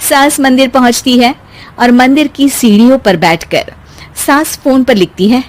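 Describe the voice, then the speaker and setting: A woman's voice: high voice, moderate pace. A woman narrating a scripted moral story as storyteller.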